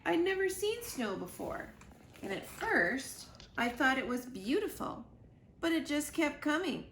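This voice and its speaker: Woman reading as earnest young goose, slightly creaky. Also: high-pitched, very animated, a little slow, a bit bright, a little hiss or hum, pitched above the performer's own voice, heavily acted. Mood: earnest, troubled. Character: young male goose earnestly telling his mother his adventures. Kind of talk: expressive children's book read-aloud.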